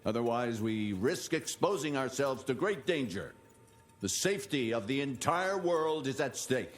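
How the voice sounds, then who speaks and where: An actor playing a formal, authoritative 1880s institute leader, measured and stern, in a video game journal. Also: male voice, medium pitch, lively intonation, a little hiss or hum, natural voice. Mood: stern, earnest.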